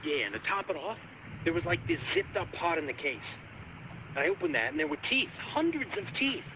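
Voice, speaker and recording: A creepy, casual driver calling a game's radio show. His voice is slightly high, with lively pitch swings and faint noise behind. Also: brisk, gravelly, exaggerated voice. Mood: eerie, fascinated. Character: casual, creepily fascinated male cab driver caller. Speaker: radio caller. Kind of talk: radio drama acting in a video game.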